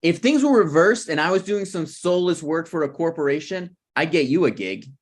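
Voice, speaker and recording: A man's voice: a little high, animated, moderate pace. A man in his thirties at a script table read, playing a needy, prickly struggling screenwriter who talks in a pressing, conversational way. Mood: bitter. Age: 30s.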